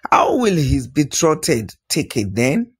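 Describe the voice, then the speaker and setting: A man voicing an indignant, proud king and father in a folktale, protesting with rhetorical questions in a husky voice. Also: on the high side, sing-song, slow pace, heavily acted. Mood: troubled, protesting.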